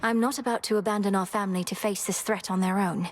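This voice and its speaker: An actress playing a determined, earnest young princess of the underworld in a video game. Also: female voice, medium pitch, fairly even intonation, fast talker, natural voice. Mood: earnest, urgent.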